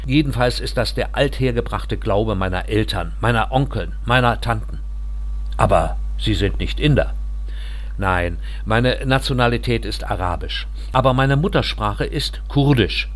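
A man reading the lines of a reserved, thirty-one-year-old Syrian Kurdish refugee, speaking in a calm, earnest first-person account. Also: fairly low pitch, measured pace, close to an everyday voice. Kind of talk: audiobook reading.

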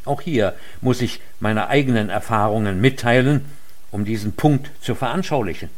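A man delivering a formal lecture in a measured, earnest way. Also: lowish voice. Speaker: lecture speaker. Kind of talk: formal read speech.

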